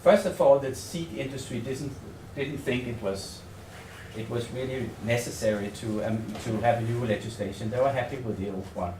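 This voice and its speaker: A man from Denmark with a Danish accent presents at a seminar as a researcher, speaking English as a second language in a halting, tentative way, thinking aloud and repeating words. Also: mid-range voice. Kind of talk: semi-improvised conference presentation. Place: seminar room in a hotel. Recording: echoey room, distant mic, faint noise.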